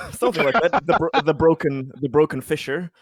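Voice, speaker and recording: A man's voice: on the high side, sing-song, normal speed, slightly rough, low-quality recording. A man playing a tabletop roleplaying game, trading casual, jokey and sweary banter with friends.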